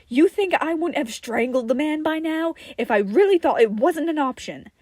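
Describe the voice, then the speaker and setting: A woman voicing an angry, sarcastic man in a story, forceful and scoffing. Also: high-pitched, animated, normal speed, no room sound, clean studio sound, voice pushed up. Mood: bitter anger. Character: angry, sarcastic, scornful man lashing out at colleagues.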